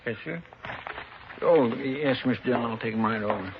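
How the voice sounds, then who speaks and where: A man's voice: medium pitch, normal speed, close to an everyday voice. A man playing a folksy, deferential lawman's helper in an old-time radio western. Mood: eager, distracted. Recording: tinny, noisy old radio recording.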